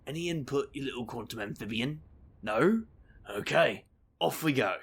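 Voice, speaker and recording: An actor playing a wry, reckless male paranormal investigator who tells his own tale in an audio drama, with a gravelly, sardonic delivery. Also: mid-range voice, lively intonation, moderate pace, crowd noise. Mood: wry narrating. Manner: wisecracking, casual, sardonic. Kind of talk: audio drama acting with noir-like first-person narration.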